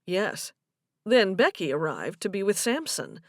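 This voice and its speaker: A woman reader takes the part of an honest man in love in an audiobook. The delivery is earnest, gentle and measured. The sound is dry and close, with no room around it. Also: mid-range voice, huge pitch swings, dry recording. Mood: confiding. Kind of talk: audiobook character dialogue.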